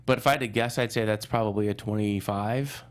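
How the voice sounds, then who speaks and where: A man talking informally as a film journalist on a talk show, chatting in a halting way and repeating phrases. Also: fairly low pitch, steady tone. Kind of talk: casual film review chat.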